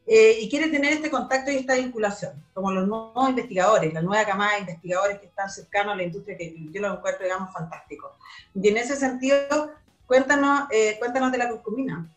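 Upbeat Chilean woman hosting an interview. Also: fairly high pitch. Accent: Chilean Spanish. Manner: upbeat, chatty, with many fillers. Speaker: host.

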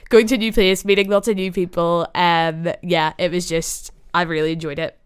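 A young Northern Irish woman with a deep, smooth and resonant voice, chatting in a casual podcast interview. She rambles from tangent to tangent, apologises for going on, and laughs often. Her pitch sits a little low for a woman. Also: little pitch movement, normal speed. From Northern Ireland. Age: young adult. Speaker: podcast host being interviewed. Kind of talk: casual chat.

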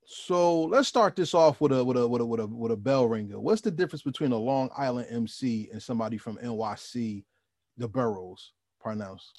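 A man's voice: medium pitch, fairly quick, darkish tone, no room sound, clean studio sound. A man hosting a podcast, asking questions and musing on the history of the music in a reflective, lecturing way. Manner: reflective and lecturing, with repeated restarts. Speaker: podcast host. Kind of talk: interview questions and commentary.

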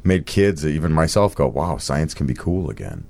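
A man's voice: rumbling low, very animated, normal speed, vocal fry, darkish tone. A man in a casual group chat who talks in a teasing, laid-back way. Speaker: panelist.

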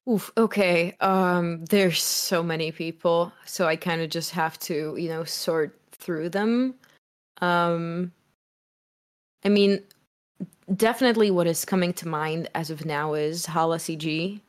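A young woman musician speaking loudly in a casual interview, rambling with fillers. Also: fairly low pitch, slow pace. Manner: loud, strong voice. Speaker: musician guest.

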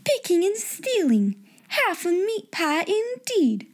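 Lady putting on a mean headmistress, scolding, slow and high. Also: very animated, heavily acted. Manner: scolding, clipped. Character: stern angry woman headmistress.

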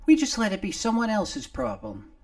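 A man voicing a small, scrappy male sidekick who wheedles and pleads in a high, squeaky voice. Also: huge pitch swings, moderate pace. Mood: persuasive.

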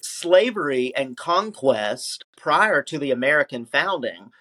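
A man's voice: a little high, big pitch swings, unhurried, pushed and bright, breathy edge, rough recording. A man who is a guest on a podcast, speaking emphatically and often restarting his sentences with "you know". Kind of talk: casual conversational interview.

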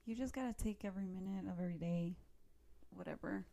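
A woman's voice: medium pitch, fast talker, vocal fry, mellow. Woman, mid-range voice, podcast co-host, casual chat, slangy conversational phrasing.

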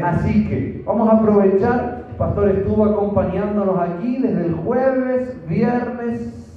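A man's voice: high voice, slight background noise. A man from Argentina with an Argentine accent introducing a guest preacher to a congregation, coaxing the audience with friendly tag questions. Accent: Argentine Spanish. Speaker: local church leader introducing the speaker. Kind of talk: congregational address. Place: church hall. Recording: echoey hall from far off, muffled.

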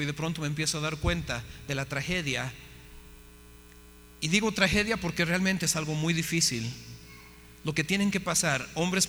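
A man's voice: on the high side, pushed and bright, in a large hall, slight background noise. A man preaching a testimony sermon, impassioned and often asking his listeners questions. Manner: impassioned, asks the audience questions. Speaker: missionary preacher.

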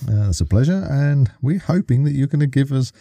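A man's voice: mid-range voice, expressive, brisk, vocal fry, dark tone, dry recording. A man hosting a podcast in casual interview style, with hesitant, rambling phrasing. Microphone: close studio microphone.

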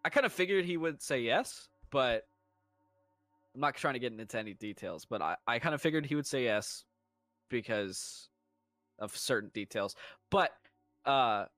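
A man's voice: medium pitch, animated, normal speed, fairly bright tone, no echo, studio quality. A man co-hosting a podcast, joking crudely, swearing freely and laughing often. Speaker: podcast co-host. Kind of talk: casual podcast chat.

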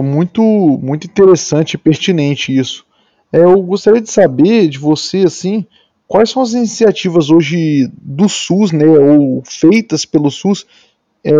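A Brazilian man interviews as a podcast host in a conversational style. His voice is soft-edged and dark in tone, a little high in pitch. The recording is dry and somewhat muffled. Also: no echo. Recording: dull, lo-fi close recording.

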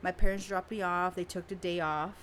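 A woman's voice: lowish voice, steady tone, fairly quick, a bit bright, a little hiss or hum. A woman in her twenties from San Diego, a native Spanish speaker, talking frankly and casually as a guest. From San Diego, California. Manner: candid, frank, swears casually. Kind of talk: casual conversation.

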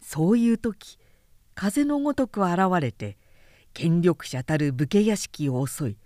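A woman narrates literary fiction with dramatic, measured delivery. Her voice sits fairly low and moves through a lively range of intonation, in a dry, close recording. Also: no room sound. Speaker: narrator, announcer.

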